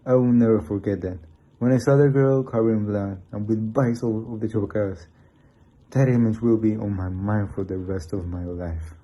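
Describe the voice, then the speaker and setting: An earnest hunter of monsters, played by a man, shaken and full of regret. His voice is very dark and husky, in a plain amateur radio-play delivery. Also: on the low side, steady tone, moderate pace, lightly acted. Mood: shaken, remorseful. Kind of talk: amateur radio drama acting.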